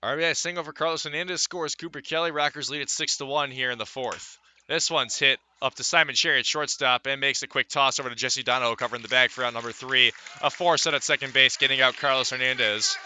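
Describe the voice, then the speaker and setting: A man calling live baseball play-by-play for radio from a ballpark, in measured phrases with pauses between plays. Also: mid-range voice, fairly even intonation, sharp tone, a little hiss or hum. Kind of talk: live radio sports commentary.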